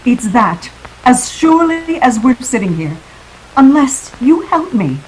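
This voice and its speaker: A woman plays a slyly helpless femme fatale in a 1940s radio melodrama. Her voice is fairly high and she draws her words out slowly, with dramatic, throbbing hesitation. Faint noise sits behind her. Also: very animated, rough recording. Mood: grave, fearful.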